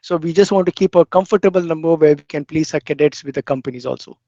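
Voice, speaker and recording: A man's voice: fairly high pitch, quick pace, dark tone, no echo. A man hosting an online meeting as a program representative and answering questions. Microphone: online meeting call. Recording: dull lo-fi online call audio.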